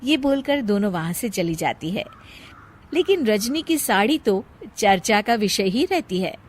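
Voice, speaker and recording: A woman's voice: medium pitch, animated, measured pace. A woman narrating a scripted moral story as a storyteller.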